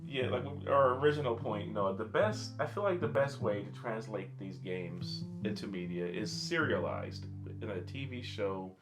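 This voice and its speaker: A man's voice: fairly low pitch, expressive, moderate pace, hardly any pauses. A man co-hosting a podcast, chatting casually and informally with the odd swear word. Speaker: podcast co-host. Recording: guitar music clearly under the talk. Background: guitar music.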